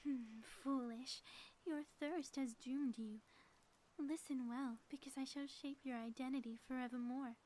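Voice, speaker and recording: A woman's voice: high-pitched, fairly even intonation, unhurried, dark tone. A woman playing a theatrical, gloating villainess in a scripted ASMR audio roleplay, with florid, melodramatic delivery. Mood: commanding, menacing. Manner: grandiose, florid, melodramatic phrasing.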